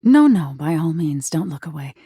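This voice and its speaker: A woman playing a living nightmare spirit in a video game, seductive and eerie, with a breathy, intimate delivery and a wounded, menacing edge. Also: very animated, normal speed, dark tone, no room sound, clean studio sound, exaggerated voice. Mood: gleefully eerie. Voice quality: breathy, husky, low. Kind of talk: video game character acting.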